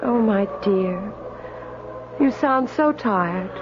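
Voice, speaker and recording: An actress playing a gentle, concerned ghostly woman in a radio drama, tender and pleading. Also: mid-range voice, expressive, extremely slow, background noise. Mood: worried, tender. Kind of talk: old-time radio drama acting. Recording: muffled radio recording under loud music.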